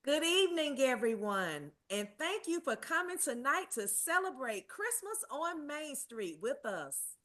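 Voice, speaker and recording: A woman's voice: high voice, big pitch swings, measured pace, fairly bright tone, heavily acted. A woman playing a positive small-town aunt who organizes a Christmas show, in a script table read. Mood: welcoming.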